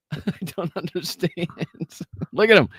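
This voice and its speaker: A man's voice: high-pitched, sharp tone, clear, resonant tone, no room sound. A man with a Boston accent hosting a livestream, commenting in a crude, profane, mocking way. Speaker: livestream host. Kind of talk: live reaction commentary.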